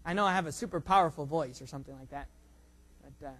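A high-voiced younger man preaching from the pulpit. He teases himself and the room with food jokes, then leans hard on key words in sudden loud bursts, and slips into a prayer. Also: fast talker. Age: under 45. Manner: jokey, self-teasing, surging loud emphasis. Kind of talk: sermon with prayer.

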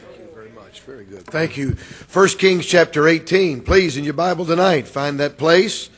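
A man preaching a revival sermon with a raspy voice, building to loud, emphatic, drawn-out delivery. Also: a little high. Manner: rises to loud emphatic preaching, stretched words. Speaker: guest preacher.

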